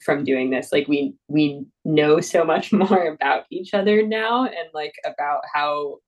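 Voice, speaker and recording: A young woman guest speaks in a low, deep-set register, chatting loosely with plenty of "um" and "like" and frequent laughter. She sits back from the microphone, so her voice carries a touch of distance. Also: a little slow. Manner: laughs easily, many fillers and restarts. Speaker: mountain guide, guest. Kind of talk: casual interview talk.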